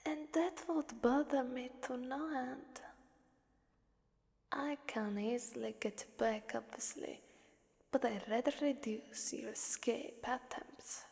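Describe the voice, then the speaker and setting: A menacing female demon, acted by a woman speaks in a high, breathy voice that often sinks to a whisper. She takes her time, teasing and looking down on her listener with bored, playful cruelty. Long reverb surrounds every phrase in this ASMR roleplay, like a deep cave. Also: fairly even intonation, darkish tone, full character voice. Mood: condescending. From Italy. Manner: slow, teasing, condescending, drawn-out pauses.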